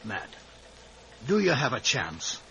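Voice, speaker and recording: Older Scottish spy chief, concerned and gruff; 1950s radio acting, noisy old recording. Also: male voice, medium pitch, wildly swooping pitch, takes it slowly, fairly bright tone, lo-fi sound, full character voice.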